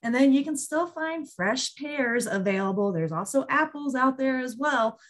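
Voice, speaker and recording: A woman's voice: high voice, expressive, normal speed. Informal woman hosting an online call. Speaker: host of an online monthly call. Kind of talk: informal webinar presenting.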